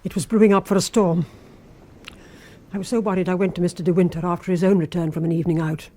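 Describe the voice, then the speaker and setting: A woman's voice: on the low side, steady tone, fast talker, dark tone, natural voice. An actress playing a sinister, obsessive housekeeper in a radio drama, insinuating and intimate, lingering on her phrases. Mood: brooding.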